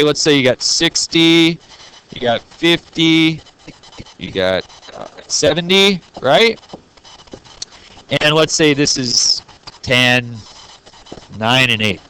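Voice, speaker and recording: A man's voice: fairly high pitch, expressive, slow pace, fairly bright tone, rough recording. A man teaching a math lesson to his class, joking and playful, talking straight to the students. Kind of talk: classroom lesson recorded on video.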